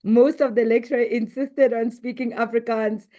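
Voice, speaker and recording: A middle-aged South African woman whose first language is Sepedi, answering interview questions as a business executive in a measured, composed way. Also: on the high side, steady tone, warm tone, highs cut off.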